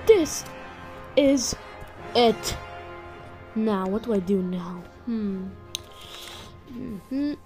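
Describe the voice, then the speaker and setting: A woman's voice: on the high side, lively intonation, drawn-out words, pauses often, dark tone, full character voice. A woman voicing a frustrated, obsessive cartoon stick-figure inventor in an improvised comedic fan dub, muttering and swearing. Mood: exasperated. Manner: muttering, profane. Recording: music playing behind the voice. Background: background music.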